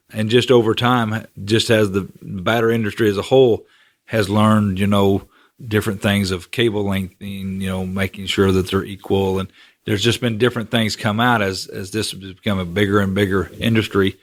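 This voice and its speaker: A man co-hosting a podcast, explaining technical points steadily with frequent fillers. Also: fairly low pitch. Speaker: co-host, general manager. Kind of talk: casual explaining.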